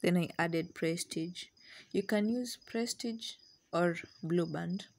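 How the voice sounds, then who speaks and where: Young woman, slightly low voice, casual cooking vlog, home kitchen, camera on the counter. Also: takes it slowly, darkish tone. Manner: chatty, switches between English and Swahili. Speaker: cooking vlogger. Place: small home kitchen indoors. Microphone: camera on counter, medium distance.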